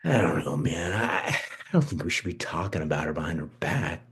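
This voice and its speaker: A man plays an impulsive, foul-mouthed American cousin in a drama script read. The voice is notably husky and a bit low, swinging widely in pitch. He talks casually and with animation. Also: expressive, brisk, heavily acted. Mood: gossipy, guarded. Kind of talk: screenplay table read.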